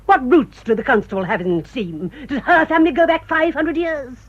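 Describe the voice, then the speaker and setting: An actress playing a proud, bitter older English spinster in a radio drama, with indignant, cutting rhetoric. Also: female voice, sits high, normal speed, lo-fi sound, lightly acted. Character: bitter, proud spinster nursing old family grudges.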